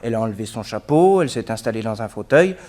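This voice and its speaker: An actor playing an eager young male assistant in a radio drama, reporting briskly. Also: on the low side, lively intonation, darkish tone, lightly acted.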